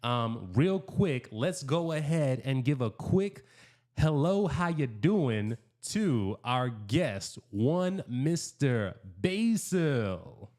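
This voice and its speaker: A man's voice: mid-range voice, very animated, extremely slow, a little mellow. A man hosting a live podcast interview, laid-back and chatty, laughing often. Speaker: podcast host.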